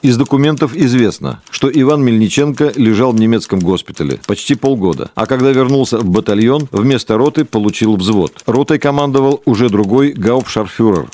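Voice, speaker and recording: A man reading documentary passages in a radio drama in a measured, matter-of-fact way. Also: mid-range voice, darkish tone. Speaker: narrator.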